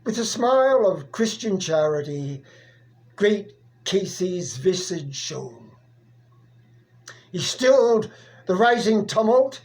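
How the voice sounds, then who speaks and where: A person reciting a narrative poem in a theatrical, deliberate manner with dramatic pauses. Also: mid-range voice, big pitch swings, a little mellow, low-quality recording. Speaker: poetry reader.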